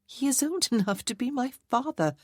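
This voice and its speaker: Woman doing a playful sly noblewoman, high lilting voice, dry close sound. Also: expressive, moderate pace, no room sound. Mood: coquettish. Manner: lilting, coquettish, airy.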